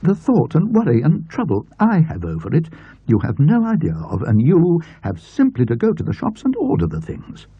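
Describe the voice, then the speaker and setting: A man performs a fussy older English aunt from a storybook, her voice pitched high and swooping with exasperation. She nags at length in a querulous, husky tone on a muffled recording. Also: wildly swooping pitch, normal speed, full character voice. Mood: exasperated, scolding. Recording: muffled, roomy old audio recording.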